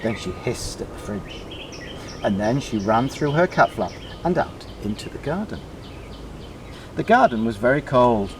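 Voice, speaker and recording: A man reading a children's story aloud in short, deliberately emphasised phrases. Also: medium pitch, big pitch swings, noisy background. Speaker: storybook reader.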